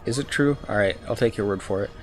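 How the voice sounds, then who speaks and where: A young man with a lowish voice half-whispers casual commentary to his stream chat as he plays. He keeps up a soft breathy delivery with mouth noises while his throat wears sore. Faint game music plays under his voice. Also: fast. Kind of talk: casual gaming commentary, trying ASMR-style whispering.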